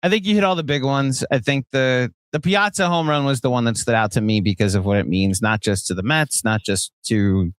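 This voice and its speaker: Clear-voiced man hosting sports talk. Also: mid-range voice, fairly quick, few pauses, no room sound. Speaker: sports podcast host.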